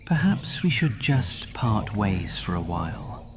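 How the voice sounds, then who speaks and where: A man playing an imperious, cold, centuries-old vampire lord in a fantasy audio roleplay, with a breathy, whispery, menacing drawl. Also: on the low side, very animated, slow pace, faint music behind. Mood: scornful dismissal.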